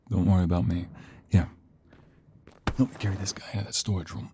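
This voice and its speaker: A man playing a tender, protective mobster fiancé in an ASMR roleplay, hushed and intimate, reassuring the listener in a breathy murmur. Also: on the low side. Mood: guarded, urgent. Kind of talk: ASMR roleplay audio drama.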